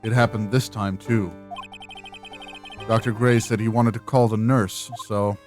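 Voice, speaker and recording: A woman voicing a steady, protective young male defense attorney in a video game, measured and a little wry. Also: extremely low pitch, faint music behind, voice pushed down. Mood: measured, probing.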